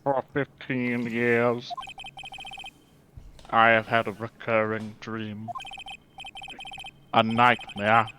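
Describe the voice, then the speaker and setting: A man's voice: mid-range voice, level tone, background noise. A man playing a grave, guilt-ridden male lawyer with a formal, brooding manner in a live fan dub of a game. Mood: haunted confession. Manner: deliberate, brooding.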